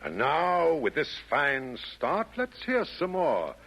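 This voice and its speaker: Casting: wry devil chairman, theatrical and formal, hugely varied intonation, slightly rough tone, vintage radio play. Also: fairly high pitch, wildly swooping pitch, takes it slowly, a bit bright, heavily acted. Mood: wry, courteous. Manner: theatrical, formal rhyming speech with mock hesitation. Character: the Devil, wry pompous chairman of hell's meeting. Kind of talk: 1940s radio comedy acting. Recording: old 1940s radio broadcast, slightly muffled.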